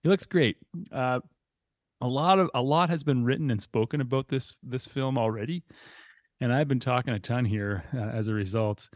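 A man's voice: medium pitch, normal speed, dry recording, clean studio sound. A man with a Canadian accent hosting a film podcast, moving between chat and prepared narration. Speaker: podcast host. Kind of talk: film podcast talk with scripted synopsis.

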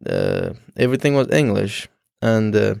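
A young man from Afghanistan whose first language is Dari Persian, speaking as a student guest in an interview, halting with frequent restarts and fillers. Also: lowish voice, wildly swooping pitch, creaky, a little mellow, dry recording, clean studio sound. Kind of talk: casual interview.

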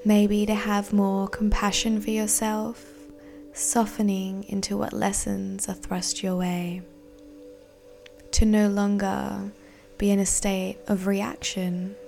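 A woman guiding a meditation in a soothing, deliberate, breathy voice that often nears a whisper. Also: medium pitch. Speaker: meditation guide. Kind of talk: guided meditation narration. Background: soft background music.

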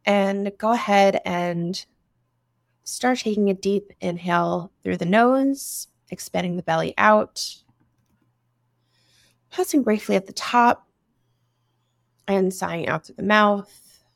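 A woman leading a guided meditation, giving soothing instructions in short phrases with long pauses between them. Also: mid-range voice, gravelly, no room sound, studio quality. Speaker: meditation guide, breathwork teacher.

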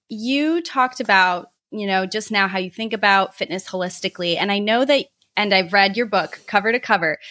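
A woman hosts a podcast interview with a bright, clear voice, friendly and encouraging as she sets up her questions. She speaks at a middle pitch. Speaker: podcast host. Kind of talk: interview host.

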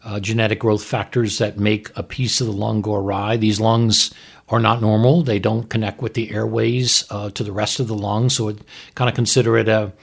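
Male doctor podcaster, husky low voice, emphatic and frank, conversational explainer. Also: little pitch movement, moderate pace. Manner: emphatic, plain-spoken, frank. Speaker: doctor co-hosting a medical podcast.

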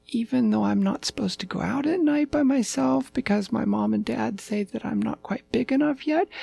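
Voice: mid-range voice, vocal fry, darkish tone, breathy edge, no echo, voice pushed up, heavily acted. An adult storyteller voicing a small, earnest lost puppy who rambles like an adventurous young child.

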